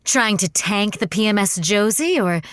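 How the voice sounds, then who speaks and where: A woman's voice: medium pitch, expressive, moderate pace, fairly bright tone, dry recording, lightly acted. A woman playing a scheming, sardonic young mother with a melodramatic streak in a comedy audio drama. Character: scheming gold-digging young mother, brash and sly.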